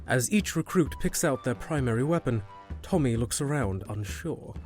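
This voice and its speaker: A man reads scripted narration for a fantasy audio drama. His voice sits at an average pitch. Music runs quietly beneath the storytelling. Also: animated, moderate pace. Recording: music under the voice.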